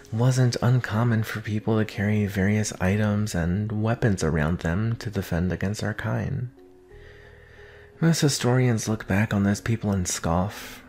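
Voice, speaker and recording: A young man playing an anxious, protective vampire boyfriend in an intimate roleplay audio drama, speaking in an earnest, halting way with sighs. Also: fairly low pitch, darkish tone. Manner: halting, sighing, earnest delivery.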